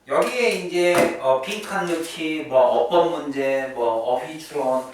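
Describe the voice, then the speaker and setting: A man's voice: a little high, takes it slowly. A man teaching an English class in a chatty way, often checking in with his students. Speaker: English teacher at a private academy. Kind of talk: classroom lesson, explaining vocabulary and grammar. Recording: echoey room, distant mic, faint noise.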